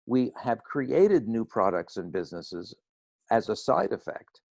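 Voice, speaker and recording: Hoarse older man, dry sound. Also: mid-range voice, darkish tone, dry recording. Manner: earnest, slightly preachy, wry. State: hoarse with laryngitis. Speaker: guest speaker. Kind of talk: roundtable talk.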